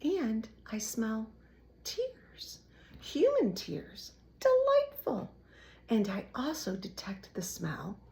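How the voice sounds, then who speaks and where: A woman voicing a gloating storybook villain rat, with theatrical, savoring, drawn-out words. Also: a little high, wildly swooping pitch, creaky, warm tone, exaggerated voice. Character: gloating one-eared villain rat, falsely welcoming, sniffing.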